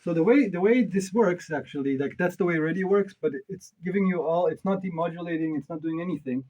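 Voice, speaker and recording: Higher-voiced man, casual and opinionated. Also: fairly quick, darkish tone, dull audio. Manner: informal, opinionated, mild swearing. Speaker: presenter reviewing synth modules. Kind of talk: casual off-the-cuff product review.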